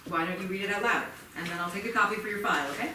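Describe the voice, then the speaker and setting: A woman's voice: mid-range voice, racing, natural voice. A woman in a staged reading playing a firm, composed school board chair who speaks formally and with authority. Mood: calm, official. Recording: very echoey theatre, distant mic, noisy.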